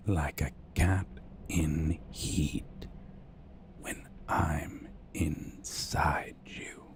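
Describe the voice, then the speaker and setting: A man's voice: rumbling low, darkish tone, slight background noise, heavily acted. A man acting a sadistic, seductive male vampire who taunts cruelly and breathily in an ASMR roleplay. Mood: seductive, taunting. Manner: slow, menacing, breathy taunting.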